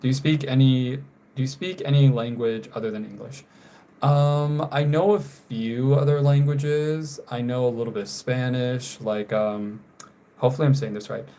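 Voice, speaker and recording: A young man from North Carolina chatting casually on a live stream while drawing. He often trails off quietly and apologizes for mispronouncing viewers' names. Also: mid-range voice, fairly even intonation, moderate pace. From North Carolina, USA.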